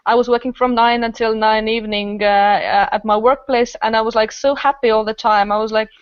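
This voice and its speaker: A woman's voice: on the high side, fairly even intonation, brisk, clean tone, no room sound, muffled recording. A woman with an Estonian accent telling stories casually and enthusiastically in a group conversation. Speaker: podcast guest, improviser and festival organiser.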